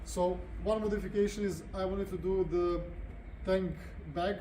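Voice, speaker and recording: A man's voice: sits high, fairly even intonation, moderate pace, mellow, a little hiss or hum, poor audio. A man with a Serbian accent hosting a motorcycle review in casual, unscripted vlog talk.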